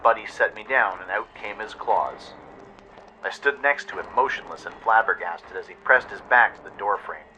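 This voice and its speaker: Man, dramatic story narrator, low-pitched, sharp bright tone, slight background noise. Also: normal speed, poor audio. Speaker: narrator and radio show host.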